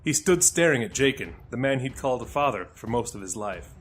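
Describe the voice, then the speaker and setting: A man's voice: medium pitch, animated, a little fast, soft background music. A man reading scripted fantasy fiction as the narrator of an audio drama.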